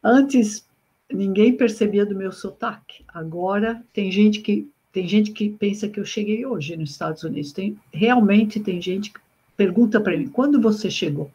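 Middle-aged woman from brazil, husky voice, reflective, frequent restarts, muffled video-call audio. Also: mid-range voice, measured pace, mellow. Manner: reflective, restarts often, repeats herself. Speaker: engineering professor, guest. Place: home room with bookshelves. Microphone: webcam on video call.

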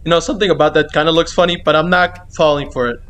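A man's voice: fairly high pitch, fairly even intonation, fast talker, a bit bright, smooth. A man giving reaction commentary on a horror game, jumpy and exclaiming, swearing and repeating himself. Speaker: gaming video creator.

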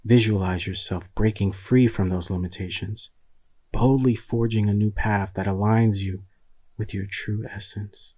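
Husky, low-voiced man guiding meditation. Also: fairly even intonation, warm tone, dry recording. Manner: soothing, deliberate, with long pauses. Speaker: meditation guide. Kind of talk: guided meditation.

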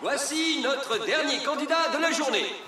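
A man's voice: moderate pace, a little hiss or hum. A man voicing a grand, showy stallion announcer in a French cartoon, declaiming like a ceremony host. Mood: grand, ceremonial. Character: pompous stallion announcer at a flying competition. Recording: booming echo, distant, loud music. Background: loud music.

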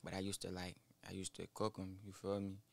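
A nineteen-year-old man, a rapper guest in a small studio, speaking haltingly into a boom mic with many fillers. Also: completely flat delivery, creaky. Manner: halting, mumbled, full of fillers. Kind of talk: casual interview. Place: small studio with foam wall. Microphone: studio mic on boom arm, headphones.

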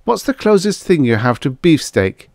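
A man's voice: on the high side, very animated, normal speed, gravelly, darkish tone, dry recording, clean studio sound, pitched above the performer's own voice. A man voicing a blunt, grumbling space trucker with colloquial talk in a science fiction story.

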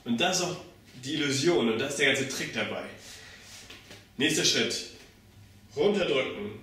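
A man's voice: mid-range voice, expressive, normal speed, bright, forceful tone, a little hiss or hum. A young man giving a step-by-step demonstration as an instructor, physically active as he talks, recorded by a camera mic across a bare room. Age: young adult. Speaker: circus pantomime instructor. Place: bare indoor room with hard walls. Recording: very echoey room, far from mic.

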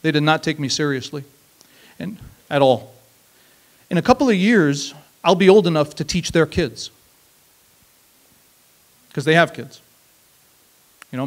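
Man, 30s, slightly high voice, reflective open-mic storyteller, handheld mic, small stage. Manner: reflective, measured, with emphatic repeated phrases. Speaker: spoken word performer. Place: small stage, seated on a stool.

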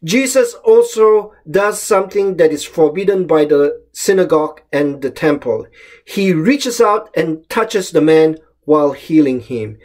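A middle-aged man from Malaysia, a pastor, giving a short devotional sermon in a calm, measured way. He is recorded close up on a webcam in a small room at home. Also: on the high side. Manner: calm, measured reading of scripture with emphatic openings. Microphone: webcam or laptop camera close up.

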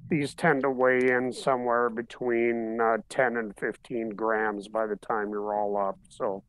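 A man's voice: mid-range voice, measured pace, no room sound, rough recording. Brief: man, 50s, dry webcam sound, easygoing hobbyist show-and-tell. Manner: relaxed, matter-of-fact show-and-tell. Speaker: hobbyist showing 3D printed car bodies. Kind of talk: casual video call chat. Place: home living room, seated in armchair. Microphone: webcam on video call.

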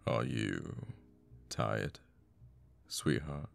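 A man acts out a cocky, menacing assassin boyfriend for an ASMR roleplay. His voice is extremely deep and full of gravelly fry. He murmurs unhurriedly, swearing and pausing as he goes. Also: background noise, full character voice. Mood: quiet menace. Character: cocky young male assassin, flippant, menacing, secretly a devoted boyfriend. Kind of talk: intimate ASMR audio roleplay.

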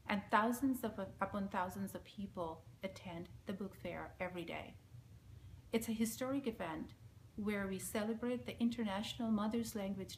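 Bangladeshi-accented woman, forties, solemn and measured reading to camera; middle pitch, light background hiss. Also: low-quality recording. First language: Bengali. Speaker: speaker giving a recorded message. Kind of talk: reading a prepared statement. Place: small indoor room, plain wall. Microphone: front-facing camera at close range.

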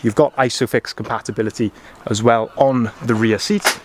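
A man's voice: mid-range voice, steady tone, normal speed, a little hiss or hum. A young man doing a walkaround review of a van outdoors, upbeat and enthusiastic with frequent ums. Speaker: van salesman. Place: outdoor car lot beside a van. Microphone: camera-mounted mic, filming while walking.

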